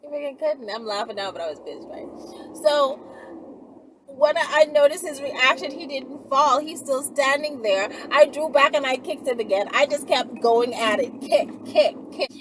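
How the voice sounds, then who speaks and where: Woman vlogger, fairly high voice, blunt slangy storyteller with animated delivery, noisy ambient recording. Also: moderate pace. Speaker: vlogger and channel host. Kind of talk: casual chatty first-person storytelling.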